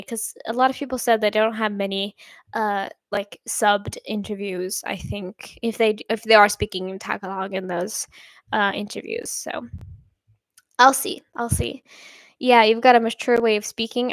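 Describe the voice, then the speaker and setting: Young female streamer, casual and rambling, slightly raised pitch, dry booth-like sound. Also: no room sound. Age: young adult. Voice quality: somewhat deep voice. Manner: chatty, rambling, frequent fillers and soft laughs. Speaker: reaction channel host. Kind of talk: live stream chat reading comments.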